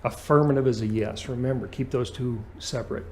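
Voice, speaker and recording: Male flight instructor, mid-pitched, explanatory lesson delivery, punctuated with short checking questions. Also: steady tone, fairly quick. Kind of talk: hands-on aviation lesson.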